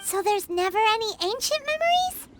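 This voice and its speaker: A chirpy little floating fairy companion, excitable and a bit bossy, chattering about herself in the third person. The voice sits very high and swoops all over, over loud video game music. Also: female voice, a little fast.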